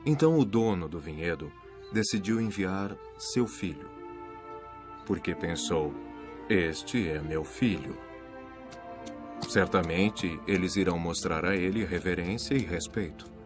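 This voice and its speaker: A man playing a calm, authoritative messiah who tells parables in a measured, solemn way in a dubbed Bible cartoon. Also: sits low, over music. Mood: solemn storytelling. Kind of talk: dubbed animated Bible drama, storytelling.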